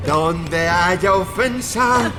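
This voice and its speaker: Creepy religious killer man, highish voice, slow and deliberate, audio drama w/ music under it. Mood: eerie fervour. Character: deranged male serial killer, soft-spoken, fanatically pious, obsessed with mother. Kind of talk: Spanish-language true-crime audio drama acting.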